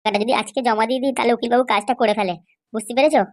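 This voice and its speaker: A woman's voice: on the high side, steady tone, rapid-fire. A woman voicing a persuasive young go-between who coaxes an elder to pay up in a comedy cartoon. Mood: coaxing. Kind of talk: amateur comedy cartoon dialogue.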